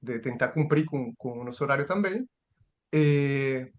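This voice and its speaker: A man's voice: medium pitch, lively intonation, a little slow. A man giving a seminar lecture, thinking aloud with restarts and rhetorical questions.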